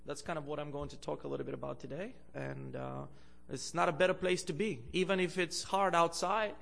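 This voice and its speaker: Young male guest preacher from Bulgaria, slightly high and gravelly, halting delivery with fillers. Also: fairly even intonation. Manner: hesitant, many fillers, louder when preaching and reading scripture. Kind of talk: sermon. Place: church.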